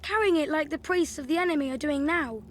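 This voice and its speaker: High, innocent little boy statue voiced by a woman. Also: fast talker, a little hiss or hum, close to an everyday voice. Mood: wistful. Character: innocent little brother, immortal child statue. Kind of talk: video game character acting.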